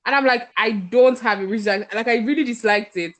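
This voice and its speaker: A woman's voice: fairly high pitch, quick pace. A woman with a Nigerian accent hosting a casual group chat on a podcast. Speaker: podcast host.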